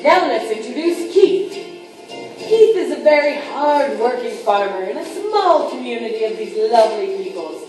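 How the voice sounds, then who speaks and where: A woman narrating a puppet show in a playful, theatrical way with drawn-out emphasis. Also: fairly high pitch, big pitch swings, darkish tone. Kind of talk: playful live storytelling. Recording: roomy, distant mic with music behind.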